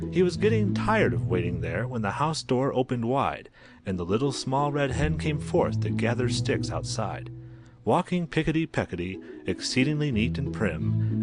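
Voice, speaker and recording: A man narrating a rhyming children's story as a storyteller, with a sing-song, rhythmic delivery. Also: fairly low pitch. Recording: loud music under the voice. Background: music.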